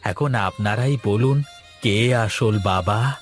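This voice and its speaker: A man's voice: fairly low pitch, takes it slowly, soft background music. A man voicing a poor, protective boatman and devoted adoptive father in an earnest cartoon drama. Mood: earnest, hurt. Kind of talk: Bengali cartoon voice acting.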